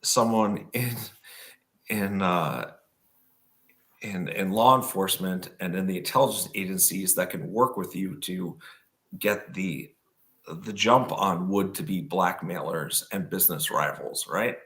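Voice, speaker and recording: A hoarse man hosts a podcast in a fairly low voice, still getting over an illness. He chats in a relaxed way, reads from notes and fills pauses as he goes. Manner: relaxed, chatty, with frequent fillers. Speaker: podcast host. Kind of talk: casual chat, reading notes aloud.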